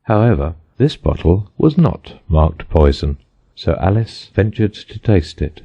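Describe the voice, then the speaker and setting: A man's voice: sits low, big pitch swings, unhurried, mellow, husky, muffled recording. A man reading a children's storybook as scripted audiobook narration. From United States.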